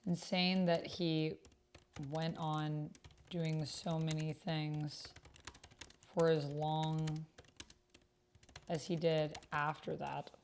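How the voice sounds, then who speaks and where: A woman's voice: low voice, level tone, extremely slow, long pauses, a little mellow, slight background noise. A woman streamer chatting casually and thinking aloud as she plays and reads out a text-based roleplaying game. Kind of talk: casual livestream chat with typed roleplay read aloud.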